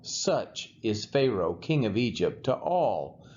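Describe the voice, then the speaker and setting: A man's voice: fairly low pitch, very animated, slow, a little mellow, a little hiss or hum. A man reading the lines of a haughty male Assyrian envoy who taunts a besieged city with mocking questions. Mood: taunting. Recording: dull lo-fi sound.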